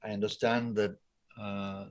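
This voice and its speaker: An older man from Wales, an art professor talking thoughtfully on a video call with many pauses and ums. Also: fairly low pitch, level tone. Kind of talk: casual interview talk. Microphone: laptop webcam on a video call.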